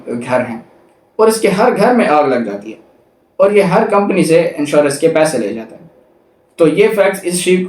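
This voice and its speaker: A male law lecturer with a voice a little higher than average. He reads out formal text, then explains it methodically, repeating key points. The sound is roomy and echoey, with the microphone some way off. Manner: didactic, rephrases and repeats points step by step. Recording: roomy echo, distant microphone.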